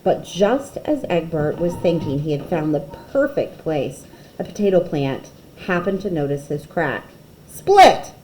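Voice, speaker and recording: A woman reading a children's picture book aloud expressively, with dramatic pauses and emphasis. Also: low voice, lively intonation, dark tone, slight background noise, poor audio.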